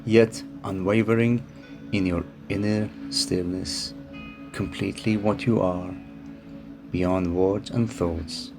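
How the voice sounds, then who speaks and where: A man narrating a guided meditation in a calm, soothing manner with unhurried phrasing. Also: deep voice. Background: music behind the voice.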